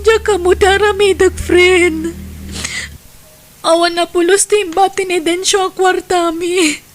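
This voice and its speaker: Very high, plaintive Ilocano wife played by an actress. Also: female voice, steady tone, unhurried, soft background music. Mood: grieving. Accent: Ilocano Filipino. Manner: plaintive, emotional. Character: distressed wronged wife and mother of two. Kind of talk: audio drama acting.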